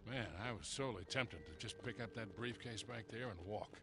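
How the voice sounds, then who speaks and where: An actor playing a gruff, worldly older American treasure hunter in a video game cutscene, with a gravelly, wry drawl. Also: male voice, lowish voice, a little fast, natural voice. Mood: dry, grumbling. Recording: music behind dialogue.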